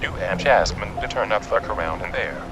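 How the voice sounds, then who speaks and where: Man acting a low-voiced, hot-tempered, cursing police chief. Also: expressive, normal speed, heavy background noise, close to an everyday voice. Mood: stern, wary. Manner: brusque, profane, barking orders. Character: hot-tempered, foul-mouthed male police chief, gruffly protective. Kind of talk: audio drama acting.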